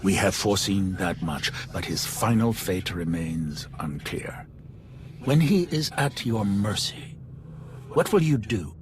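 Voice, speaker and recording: A grave mystic elder in a video game. His voice is low and portentous, with formal, probing delivery over clearly noisy ambience. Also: expressive, takes it slowly. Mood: accusing, solemn. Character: solemn male mystic elder leading trials. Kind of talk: dramatic video game acting.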